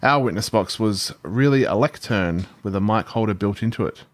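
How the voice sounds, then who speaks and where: A man co-hosting a podcast, telling stories in an animated way and swearing casually. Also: fairly low pitch, moderate pace, no room sound. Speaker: podcast co-host. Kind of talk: casual storytelling and banter.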